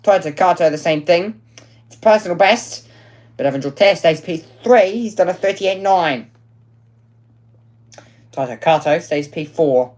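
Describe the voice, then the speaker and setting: A woman with a notably low, deep voice calls a motor race qualifying session live. Her delivery is chatty and loose, filled with ums, and she corrects herself on the fly as she goes. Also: measured pace, long pauses, darkish tone. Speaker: motorsport commentator.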